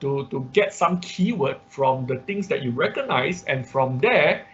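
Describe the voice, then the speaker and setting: A man instructing an online class through a live software demonstration, talking haltingly as he thinks aloud. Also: medium pitch, lively intonation, faint music behind. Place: indoors, online class at a computer. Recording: muffled online class audio with background noise.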